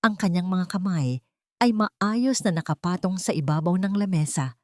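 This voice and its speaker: Low-voiced woman narrating drama. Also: animated, normal speed, darkish tone, dry recording, clean studio sound. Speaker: audiobook narrator. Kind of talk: scripted dramatic story narration.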